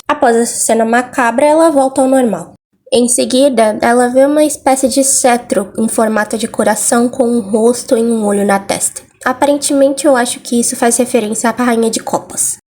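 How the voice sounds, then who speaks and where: A woman's voice: on the high side, brisk, darkish tone, slight background noise. A Brazilian woman narrating a scripted video recap and commentary, explaining things with informal asides. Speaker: video essayist.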